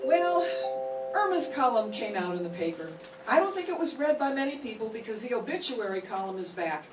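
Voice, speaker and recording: Brief: older woman as a dry-witted roommate-narrator; animated pitch; far, roomy sound. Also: brisk, music in the background. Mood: sardonic. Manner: dry, sardonic asides. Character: wisecracking practical roommate who also narrates. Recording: roomy classroom, far from mic, faint hiss.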